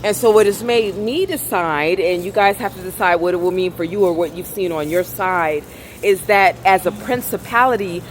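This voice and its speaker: A woman vlogger with a velvety, raspy voice, speaking softly in a rambling monologue outdoors on a city street. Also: fairly low pitch, normal speed, a little hiss or hum. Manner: soft-spoken, rambling, trying to talk louder. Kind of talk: casual monologue.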